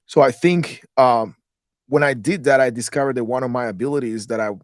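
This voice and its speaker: A man's voice: mid-range voice, a little fast, dry recording, clean studio sound. A man in his thirties from Guatemala, a guest in a podcast interview, talking in a loose, rambling way with many restarts. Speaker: guest, real estate investor.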